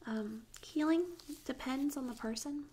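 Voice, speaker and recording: A woman speaking softly and whispering in a breathy, slow, gentle way for an ASMR roleplay, playing a tattoo artist, close on a binaural microphone. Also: high voice. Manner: slow, gentle, unhurried instructions. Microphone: close binaural stereo microphone. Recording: close binaural recording with audible noise.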